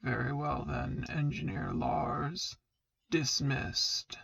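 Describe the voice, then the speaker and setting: A woman voicing a stern, commanding male dark lord in a gravelly voice, speaking formally and tersely in a fan fiction audiobook. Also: deep voice, steady tone, pitched below the performer's own voice, heavily acted. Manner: formal, clipped, deliberate.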